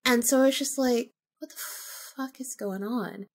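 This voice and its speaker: A woman podcast host telling a personal story casually, in an animated, incredulous way with blunt swearing. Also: on the high side, normal speed.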